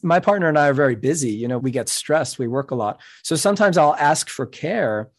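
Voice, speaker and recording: A middle-aged man whose first language is Spanish, speaking as a podcast guest in an earnest, measured, explanatory way. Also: fairly high pitch, lively intonation. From New York City. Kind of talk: reflective interview talk.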